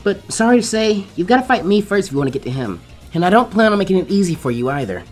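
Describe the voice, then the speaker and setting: A man's voice: high voice, sing-song, fast, faint music behind, voice pushed up. A man voicing a confident, bossy teenage ninja girl in a teasing, emphatic, sweet tone for a fan fiction reading. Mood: nonchalant.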